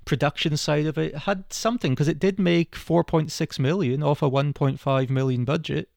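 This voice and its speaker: Gravelly, higher-pitched man hosting film chat. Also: steady tone, moderate pace, darkish tone, no room sound, clean studio sound. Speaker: film podcast host. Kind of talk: casual film discussion.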